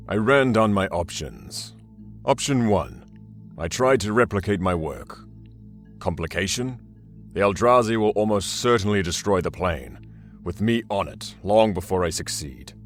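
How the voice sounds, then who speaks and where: A man playing an ancient, arrogant demon warlord in an audio drama, measured and deliberate with dry, sardonic calculation. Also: low voice, a bit bright. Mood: coldly calculating. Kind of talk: first-person audio drama monologue.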